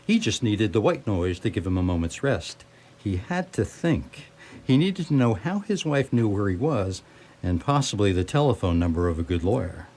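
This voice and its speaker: A man's voice: fairly low pitch, big pitch swings, normal speed, darkish tone. A man narrating a scripted radio drama as storyteller.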